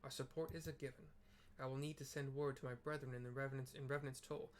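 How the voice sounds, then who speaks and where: A man's voice: medium pitch, level tone, fast talker, a little hiss or hum, natural voice. A man reading the lines of an earnest young male scholar aloud, in a voice close to his own. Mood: urgent. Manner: reads lines aloud in a light, near-natural voice.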